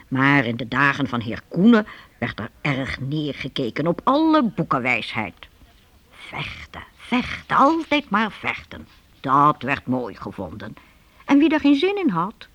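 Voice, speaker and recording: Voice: medium pitch, husky, no room sound. A narrator telling a story in a radio play in a chatty, explanatory and emphatic way.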